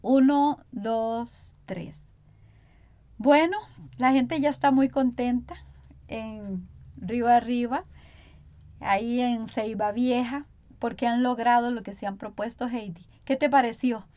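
A woman's voice: a little high, fairly even intonation, takes it slowly, mellow. A woman hosting a conversational radio interview in Spanish, informally putting points back to her guest as questions.

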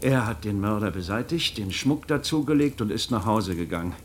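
A man acts out a shrewd magistrate in a radio drama, speaking in a fairly low voice. He builds his case with theatrical, rhetorical flourishes. His manner is insinuating, mocking and relentless. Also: animated, brisk, a little hiss or hum, lightly acted. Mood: musing, sardonic. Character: shrewd male magistrate methodically cornering a suspect, mocking and relentless. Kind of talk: German radio play acting.

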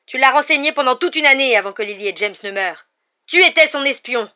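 A woman voicing a furious, vengeful man in a storybook, loud and growling with accusation. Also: mid-range voice, steady tone, moderate pace, dull audio, natural voice. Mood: enraged.

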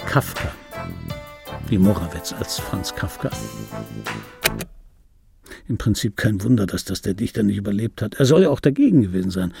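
Bitter middle-aged voice actor guy, husky and mellow, wry radio play monologue. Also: lowish voice, heavily acted. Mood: wry, reminiscing. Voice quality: gravelly, husky. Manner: wry, conversational, self-interrupting. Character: bitter aging male voice-dubbing actor recounting his life.

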